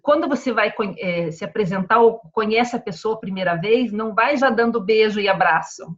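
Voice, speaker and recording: A woman's voice: medium pitch, a little fast, highs cut off. A woman from Paraná, Brazil, speaking with a Paraná accent as an interview guest, chatty and laughing easily. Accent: Paraná Portuguese. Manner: chatty, warm laughs, many tag questions. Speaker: guest, civil engineer. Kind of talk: casual interview chat.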